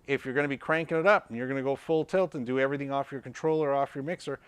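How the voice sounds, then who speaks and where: A man's voice: medium pitch, steady tone, racing, smooth, no room sound. A man giving an informal, explanatory product demonstration in a conversational, instructional way, repeating points for emphasis. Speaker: product reviewer and presenter.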